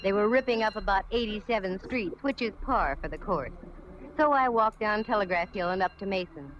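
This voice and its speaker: A woman's voice: mid-range voice, expressive, fairly quick, a bit bright, natural voice. Muffled old-radio wisecracking female private eye played by an actress. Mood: wry, breezy. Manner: wry, sardonic, theatrical delivery. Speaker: lead actress in a radio detective drama. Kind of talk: 1940s-50s radio drama acting and narration.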